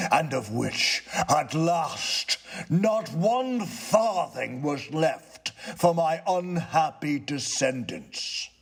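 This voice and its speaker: A man voicing a gloomy, pompous old-world ghost in a storybook, declaiming in a slow, solemn, drawn-out way. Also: on the high side, breathy edge, voice pushed up, exaggerated voice. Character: gloomy, long-suffering male ghost, pompous yet easily persuaded. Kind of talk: theatrical storybook character reading.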